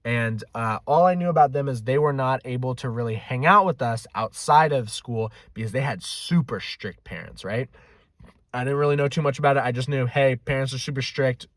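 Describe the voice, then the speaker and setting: A man's voice: medium pitch, no echo. A man telling anecdotes in a casual, rambling, self-deprecating way, swearing freely as he hosts a video. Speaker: video host. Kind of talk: casual rambling storytelling to camera.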